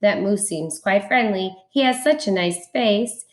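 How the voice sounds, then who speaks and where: A woman's voice: medium pitch, measured pace, echoey room, lightly acted. A woman voicing a small, polite, eager tree spider in a storybook, with a genteel manner. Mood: hopeful.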